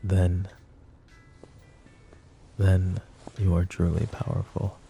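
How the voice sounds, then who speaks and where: Man acting a deep gravelly mafia boss, intimate and menacing. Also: little pitch movement, warm tone, faint music behind, exaggerated voice. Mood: brooding, commanding. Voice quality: low, gravelly murmur. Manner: deliberate, coaxing, philosophizing. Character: flirty, possessive male mafia boss, calm and menacing. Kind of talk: intimate ASMR roleplay acting.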